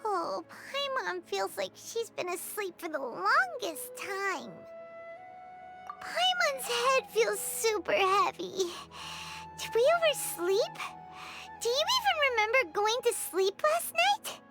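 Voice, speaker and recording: A tiny game fairy with an extremely high, squeaky, childlike voice. She is excitable and dramatic, swinging widely in pitch over a music backing. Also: slow pace, heavily acted. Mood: groggy, puzzled. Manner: refers to self in third person, exclamatory. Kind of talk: video game character acting.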